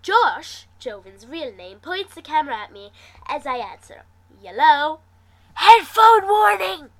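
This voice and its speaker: A woman reading fan fiction aloud in an animated, theatrical way, stumbling over words and restarting now and then. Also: sits high, moderate pace. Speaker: amateur fan fiction reader. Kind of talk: animated story reading with acted dialogue.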